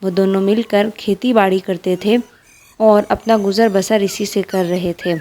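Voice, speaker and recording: A woman's voice: mid-range voice, steady tone, a little slow, dark tone, a little hiss or hum. A woman narrating a scripted fairy tale for children as a storyteller.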